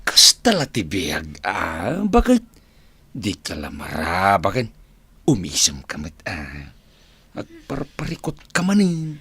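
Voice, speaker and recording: Stubborn joker of a husband, radio comedy drama; bright voice, very animated swings. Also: male voice, sits low, takes it slowly, breathy edge, dry recording, heavily acted. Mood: teasing. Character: stubborn joking husband who drinks, downplays his illness.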